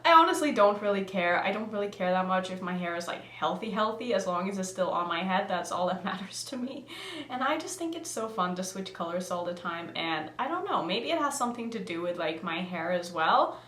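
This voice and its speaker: A woman's voice: mid-range voice, expressive, fairly quick, fairly bright tone, a little hiss or hum. Chatty Norwegian-born woman in an echoey room. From Norway. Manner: chatty, giggly, thinks aloud. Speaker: makeup vlogger. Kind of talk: casual makeup tutorial chat.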